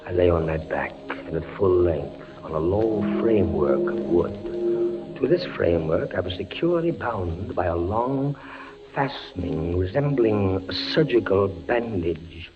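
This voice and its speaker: Tormented prisoner, halting and breathless, theatrical 1940s radio acting, muffled recording, music behind. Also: male voice, sits low, animated. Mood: dread. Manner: halting, breathless, theatrical delivery with repeated words. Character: tormented condemned prisoner, frail, desperate man.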